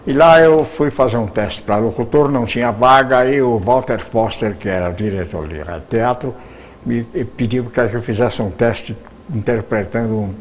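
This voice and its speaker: An older Brazilian man, a veteran radio actor, telling stories in an unhurried way with pauses and restarts as an interview guest. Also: medium pitch, slight background noise. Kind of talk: reminiscing interview answers.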